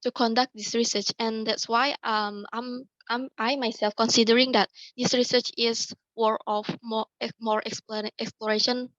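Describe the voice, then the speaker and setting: A woman's voice: a little high, flat delivery, normal speed, hardly any pauses, fairly bright tone, dry recording, lo-fi sound. A young woman presents her student research. She speaks hesitantly, often repeating and restarting words. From Indonesia. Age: young adult. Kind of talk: academic presentation. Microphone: video call.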